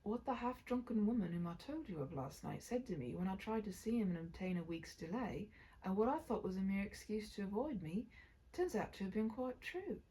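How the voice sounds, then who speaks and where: A woman's voice: medium pitch, expressive, a little fast, warm tone, lightly acted, poor audio. A woman voicing an earnest, compassionate husband burdened by debt in a storybook.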